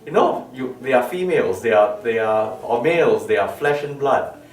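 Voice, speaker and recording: A man's voice: mid-range voice, lively intonation, normal speed. A man giving an informal product talk as a designer, explaining emphatically with repeated words and rhetorical questions, recorded in a room. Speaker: loudspeaker designer presenting his product line. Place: medium-sized living-room-like room indoors. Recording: roomy, distant mic with music behind. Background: plucked lute music.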